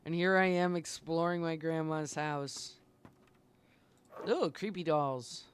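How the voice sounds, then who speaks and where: A woman streamer reacting casually and expressively as she plays. Her voice is smooth and clear, and she swears freely in a chatty, informal way. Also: low voice, fairly even intonation, slow. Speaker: game streamer. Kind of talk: casual live gameplay commentary.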